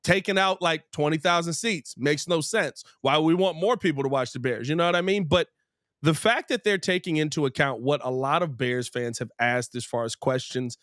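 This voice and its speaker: Higher-voiced American man, close dry sound. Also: normal speed, a bit bright, dry recording. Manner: emphatic, asks rhetorical questions. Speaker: sports podcast host. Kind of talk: casual opinionated sports chat.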